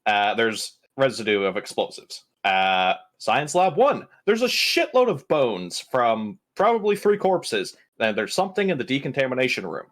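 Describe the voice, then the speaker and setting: A man's voice: medium pitch, wildly swooping pitch, normal speed, few pauses, fairly bright tone. A man playing in a tabletop game, casually improvising a recap with irreverent, profane jokes. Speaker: tabletop RPG player giving a session recap.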